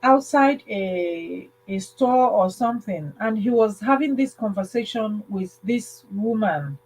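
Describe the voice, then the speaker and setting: A woman hosting a livestream, casually telling a story in a halting way, repeating phrases and checking in with listeners. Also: mid-range voice, lively intonation, a little mellow, poor audio. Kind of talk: casual spoken storytelling with advice.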